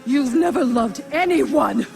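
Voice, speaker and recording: An actress playing a proud, vengeful Norse goddess queen in a dramatic video game, measured, regal and menacing. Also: high voice, animated, gravelly, exaggerated voice. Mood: defiant, commanding. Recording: loud music behind the voice. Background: dramatic game score.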